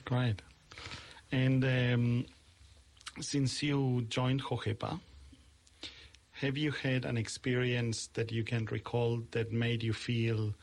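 A male interviewer with a gravelly, fry-edged voice. His pitch stays flat and even. He speaks haltingly, with many uhs. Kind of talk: podcast interview.

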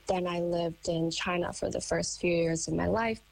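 Young American woman, interview guest, low and measured, thoughtful with pauses. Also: fairly even intonation, gravelly. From United States. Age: young adult. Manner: thoughtful, measured, with frequent ums. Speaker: guest, painter and writer. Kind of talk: interview answers.

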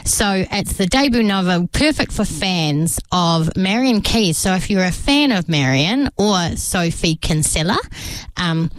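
A woman's voice: mid-range voice, no room sound. A woman hosting a radio interview in an upbeat, chatty way. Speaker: radio host.

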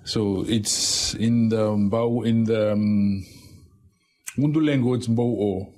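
A man from Fiji with a Fijian accent, a guest on a radio show, talking in a relaxed, hesitant way with many fillers and restarts. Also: lowish voice, takes it slowly. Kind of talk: casual radio interview.

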